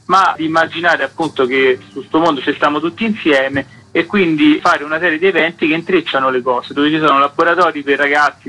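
A chatty man, his voice bright and fairly high, talking about his festival as a radio guest. He rambles a little, with fillers and offhand jokes. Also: low-quality recording. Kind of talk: radio interview. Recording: radio broadcast.

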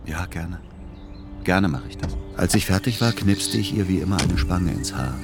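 An actor playing a devoted, patient grown son who also narrates a literary radio play in a measured, gentle, melancholy way. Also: male voice, low-pitched, expressive.

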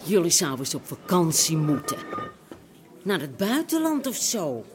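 An actress with an Amsterdam accent playing a chatty, bossy, caring café barmaid in a radio drama, brisk and direct. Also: female voice, fairly low pitch, sing-song, background noise. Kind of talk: Dutch radio drama acting.

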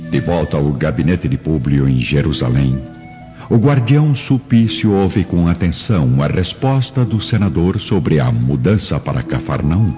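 A man's voice: very deep voice, lively intonation, normal speed. A man with a Brazilian accent narrating a scripted radio drama. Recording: dull lo-fi audio with music.

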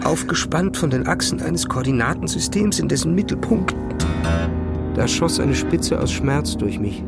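An actor playing an obsessive, haunted young physicist, narrating his own story in an introspective, halting, intense way. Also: fairly high pitch, creaky. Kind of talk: first-person narration in a literary audio drama.

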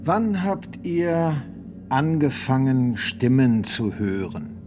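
An actor in a radio drama playing a church examiner who questions a prisoner in a formal, admonishing manner. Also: male voice, medium pitch, very animated, slow, over music. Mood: grave, probing.

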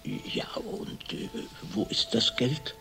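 An actor playing a shrewd, laconic private detective in a radio crime drama, with a dry, probing manner and a husky, gravelly voice. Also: male voice, slow pace, bright, forceful tone, faint music behind. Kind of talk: German radio crime drama, first-person narration and questioning.

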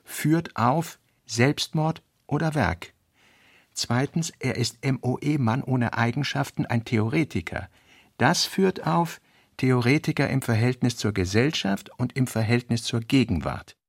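A man reading an author's fragmentary working notes for a radio play, slowly and deliberately. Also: mid-range voice, dry recording, clean studio sound. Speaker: reader.

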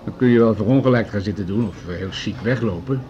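An actor playing a pragmatic, patronizing municipal official in a radio drama, speaking in measured, formal, argumentative sentences. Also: male voice, fairly low pitch, warm tone, lo-fi sound, close to an everyday voice. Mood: condescending, firm. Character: pragmatic, patronizing male municipal official pushing budget cuts. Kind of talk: Dutch radio drama acting.

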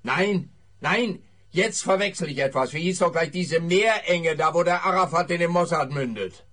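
Husky, pompous, bumbling policeman. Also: a little high, normal speed, fairly bright tone. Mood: earnest, puzzled. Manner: fussy, pompous. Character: bumbling, pompous, self-pleased male policeman. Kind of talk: comic radio play acting.

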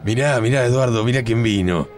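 A man's voice: mid-range voice, a little fast, soft background music, close to an everyday voice. A man playing a sneering young Argentine sidekick to a bully in a radio drama.

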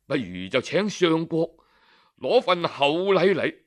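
A man voicing a discreet royal younger brother who acts as a helpful go-between, giving measured, confiding advice in storybook dialogue. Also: a little high, sing-song, voice pushed down.

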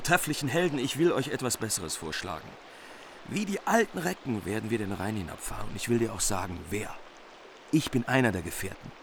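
An actor playing a bold, self-assured young knightly hero in a German radio drama. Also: male voice, medium pitch, slight background noise, lightly acted. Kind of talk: German radio play acting.

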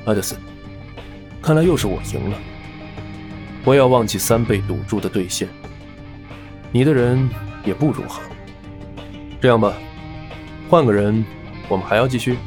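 A man's voice: fairly low pitch, lively intonation, normal speed, long pauses, over music, close to an everyday voice. A man playing a shrewd, worldly gambling boss in an audio drama, speaking with authority. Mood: commanding.

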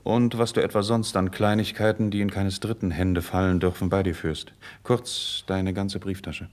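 A low-pitched, self-assured nobleman from a 1950s radio play, performed by an actor. He is loyal and courteous, with measured theatrical diction and firm control. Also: flat delivery, darkish tone, natural voice. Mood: calm, insistent. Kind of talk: classical German radio drama acting, 1950s.

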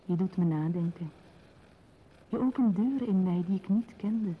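A woman's voice: on the low side, moderate pace, phone-quality sound, slight background noise, natural voice. An actress playing a perceptive, tender woman in love, speaking intimately and thoughtfully in a lyrical radio play style. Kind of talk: poetic radio play dialogue.

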